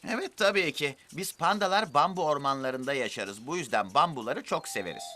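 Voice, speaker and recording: A man's voice: on the high side, lively intonation, brisk, close to an everyday voice. A man voicing an easygoing, gluttonous male panda in a preschool cartoon, munching and humming between phrases. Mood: friendly. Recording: music behind the voice.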